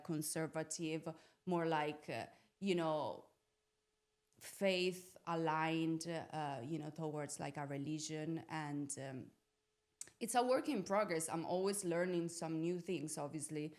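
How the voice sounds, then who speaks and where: A woman's voice: deep voice, darkish tone. A young woman from Italy talking casually and reflectively as a podcast guest, informal and often searching for her words. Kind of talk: casual personal reflection on living abroad. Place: podcast studio.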